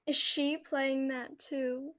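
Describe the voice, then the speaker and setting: A chatty suburban mom played by a woman in a radio drama. Her voice is high and breezy, full of light gossip. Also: level tone, takes it slowly, a bit bright. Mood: breezy, gossipy. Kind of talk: radio play acting.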